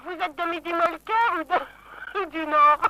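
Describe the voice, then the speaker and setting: High-voiced woman on the phone. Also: fairly even intonation, unhurried, heavy background noise. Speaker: shop employee taking a customer call. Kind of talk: casual phone exchange.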